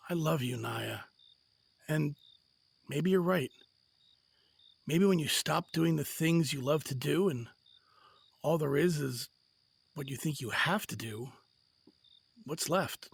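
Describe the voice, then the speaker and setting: An actor playing a weary, self-doubting husband and father in an audio drama, halting but sincere. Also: on the high side, creaky, breathy edge, no echo, heavily acted. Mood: tender, regretful.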